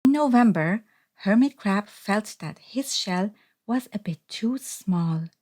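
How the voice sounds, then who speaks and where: Slow-paced woman reading a storybook. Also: medium pitch, lively intonation, a little mellow. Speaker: storybook reader. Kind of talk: scripted children's read-aloud narration.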